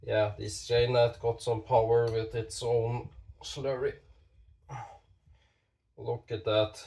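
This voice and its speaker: A man with a stuffy nose from a cold, talking slowly while demonstrating knife sharpening, with halting pauses and self-corrections. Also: mid-range voice, level tone, creaky, a little mellow, distant mic. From Norway. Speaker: knife sharpening channel host. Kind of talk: casual hands-on demonstration.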